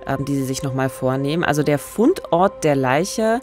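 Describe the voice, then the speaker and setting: A woman co-hosting a true-crime podcast in a radio studio, measured and asking questions. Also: extremely low pitch, lively intonation, darkish tone, soft background music. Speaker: podcast co-host. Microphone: studio microphone with pop filter, headphones.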